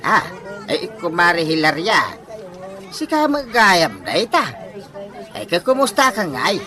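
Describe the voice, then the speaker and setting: A woman playing an excitable, gullible nag in an Ilocano-accented comedy radio drama, full of exclamations. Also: lowish voice, a little slow, a faint crowd, exaggerated voice. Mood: surprised. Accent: Filipino Ilocano. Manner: exclamatory, swooping.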